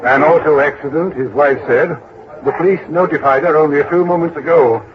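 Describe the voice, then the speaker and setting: A man's voice: fairly high pitch, a little fast, close to an everyday voice. An actor playing a fussy, worried businessman who speaks earnestly and repeats himself, in 1950s style radio drama. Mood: grave, insistent. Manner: hesitant, repeats words for emphasis. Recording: dull, noisy old radio recording.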